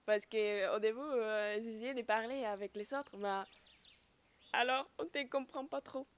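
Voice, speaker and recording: A woman's voice: on the high side, a little slow. A young woman from southern Spain with a Spanish accent, a volunteer speaking French in a chatty, easy-laughing way. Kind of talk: interview testimony.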